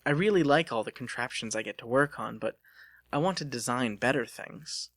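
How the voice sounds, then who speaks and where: An eager young mechanic in love, played by a man in a dramatic story reading. He talks plainly and earnestly at a medium pitch, in a dry recording. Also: lively intonation, moderate pace, a bit bright, no room sound. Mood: eager, hopeful. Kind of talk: dramatic audiobook character reading.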